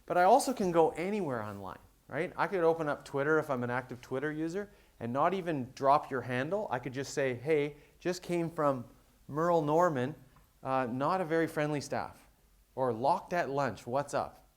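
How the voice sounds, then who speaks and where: A man's voice: fairly high pitch, animated. Man giving a casual business talk. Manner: conversational, explains with quick examples. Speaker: conference speaker. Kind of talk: business presentation.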